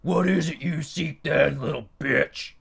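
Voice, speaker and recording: A man voicing a huge, domineering headless monster in bone armor, with a gruff, bellowing delivery. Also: on the high side, unhurried, fairly bright tone, voice pushed up, heavily acted. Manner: bellowing and bossy.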